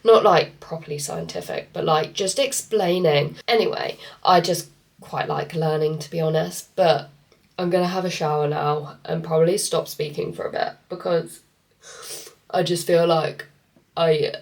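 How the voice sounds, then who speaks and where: A woman's voice: low-pitched, fairly even intonation, moderate pace, vocal fry. A woman vlogging casually as a fitness coach, congested from a cold, rambling with lots of fillers. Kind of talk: casual vlog chat to camera.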